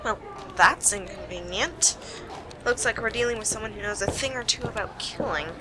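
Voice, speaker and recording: A woman playing a sarcastic, bossy detective in an amateur radio play, with a wry, brisk delivery. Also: mid-range voice, lightly acted. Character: sharp, sarcastic female detective leading a murder case. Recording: noisy amateur home recording.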